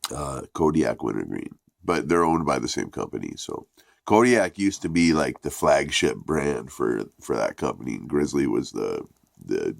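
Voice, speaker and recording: Reviewer: man with a very deep, gravelly, congested voice; loose, profane, rambling delivery, close and dry. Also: no echo. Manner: profane, rambling, laid-back. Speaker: product reviewer.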